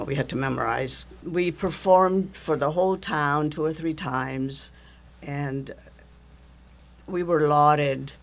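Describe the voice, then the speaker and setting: Older woman, gravelly voice. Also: low-pitched. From Italy. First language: Italian. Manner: lively storytelling, chuckles often. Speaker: guest, events coordinator. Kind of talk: casual interview chat.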